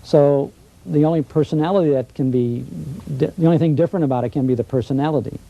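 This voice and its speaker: A man's voice: fairly high pitch, fast, lo-fi sound. A man giving opinions in a conversational interview, plainspoken and emphatic, often repeating himself.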